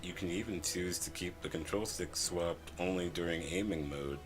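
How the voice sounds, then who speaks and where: A man's voice: low voice, moderate pace, over music. A man reviewing a video game in scripted narration, a little stuffy from a cold, plain and friendly.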